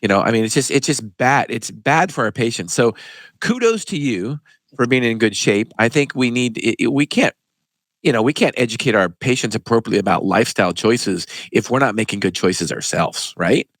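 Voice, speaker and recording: A man's voice: mid-range voice, fairly quick, dry recording. Emphatic male pharmacist host, dry sound. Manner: animated, emphatic storyteller. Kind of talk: casual interview chat.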